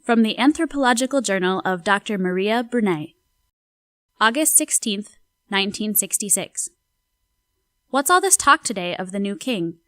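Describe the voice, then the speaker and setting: A woman's voice: mid-range voice, expressive, a bit bright, no room sound, studio quality, natural voice. A woman playing a curious 1960s anthropologist. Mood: reflective. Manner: measured, reflective diary reading. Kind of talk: dramatic reading of a journal.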